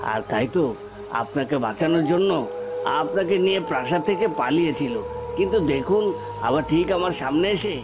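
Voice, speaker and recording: A man's voice: fairly high pitch, natural voice. A man voicing a gloating, taunting palace villain in a melodramatic cartoon, with noisy ambience behind. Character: gloating male palace villain who plots murder. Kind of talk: melodramatic Bengali cartoon voice acting.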